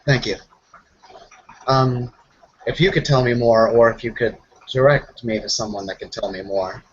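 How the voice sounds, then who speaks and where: A man with a medium-pitched voice plays a tabletop roleplaying game in a casual way. He switches between speaking as his character and describing actions. The sound is a little dull and lo-fi. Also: steady tone, normal speed, slight background noise. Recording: dull lo-fi audio.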